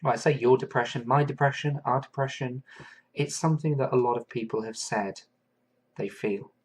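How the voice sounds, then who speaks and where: A man from England hosting a solo podcast, talking in an earnest, thoughtful way and pausing often with fillers. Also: mid-range voice, fairly even intonation, husky. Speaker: podcast host. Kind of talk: reflective solo podcast monologue.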